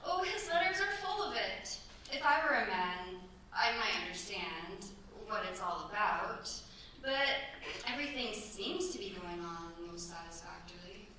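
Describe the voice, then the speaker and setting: A woman's voice: mid-range voice, animated, moderate pace, bright, forceful tone. A woman playing a devoted, anxious, genteel young French wife in a staged radio play, speaking in a refined, effusive way. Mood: fond, wistful. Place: stage at a cultural centre. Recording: roomy far-mic stage recording, faint noise.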